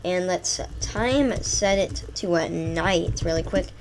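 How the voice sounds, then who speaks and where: A woman with a stuffy nose from a cold, chatting excitedly through a gameplay video, rambling through lists with fillers. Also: fairly low pitch, slow, slight background noise. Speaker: gaming channel host. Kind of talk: casual gameplay commentary.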